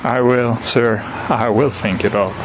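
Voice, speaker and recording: An actor playing a mild, honest small-town butcher, polite and earnest, in an audio drama. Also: male voice, medium pitch, fairly even intonation, normal speed, noisy background, close to an everyday voice. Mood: hesitant. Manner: courteous, earnest.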